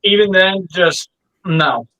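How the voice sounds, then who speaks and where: A man debating casually as a livestream panelist, emphatic and flatly insistent. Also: sits high, slow, lo-fi sound. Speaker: panelist on a toy review livestream. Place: online livestream call.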